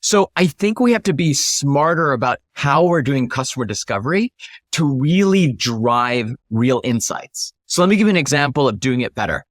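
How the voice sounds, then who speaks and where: A man's voice: medium pitch, animated, normal speed, no room sound, clean studio sound. A man speaking as an interview guest, explaining his ideas in an emphatic, instructive way. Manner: emphatic, instructive, illustrates points with acted-out example questions. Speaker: interview guest, startup founder.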